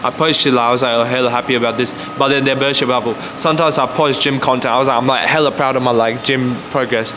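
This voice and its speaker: A man's voice: medium pitch, fairly even intonation, fast talker, hardly any pauses, noisy background. A man in casual podcast chat with very slangy speech, full of fillers and mimicked remarks. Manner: slangy, says "hella", "like" and "bro", mimics critics.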